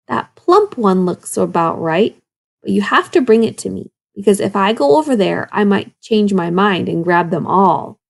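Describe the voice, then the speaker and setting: Casting: sly, grumpy old male wolf for a children's storybook, gravelly and wheedling played by a woman. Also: mid-range voice, sing-song, moderate pace. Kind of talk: children's storybook read-aloud.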